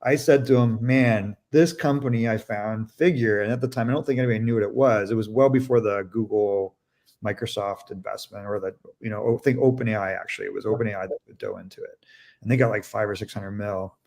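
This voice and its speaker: A man from Canada hosting a casual live interview, chatty and quick to joke and laugh. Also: lowish voice, fairly even intonation, gravelly, darkish tone. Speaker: host.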